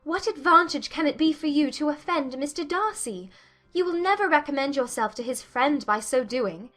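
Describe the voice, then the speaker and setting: A woman's voice: high-pitched, expressive, normal speed, fairly bright tone, soft background music. A woman playing an anxious, mortified young daughter who pleads urgently in a dramatized audiobook. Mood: urgent, embarrassed.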